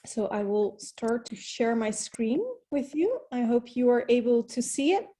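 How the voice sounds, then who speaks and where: A woman's voice: fairly high pitch, lively intonation, mellow. Woman hosting an online meeting. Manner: friendly, plain and unhurried. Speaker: host. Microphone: video call.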